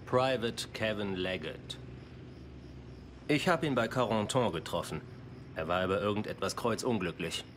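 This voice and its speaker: A man's voice: on the low side, moderate pace, pauses often, fairly bright tone, a little hiss or hum, lightly acted. A man playing a pushy, menacing soldier in a dubbed war game, speaking in an insinuating, pointed way. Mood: accusing. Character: pushy, menacing male soldier confronting a comrade.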